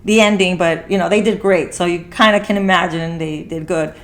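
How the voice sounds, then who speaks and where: A woman's voice: fairly low pitch, fairly even intonation, brisk. A woman hosting a casual movie review talk, speaking earnestly and repeating phrases for emphasis. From Mexican heritage. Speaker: talk show host.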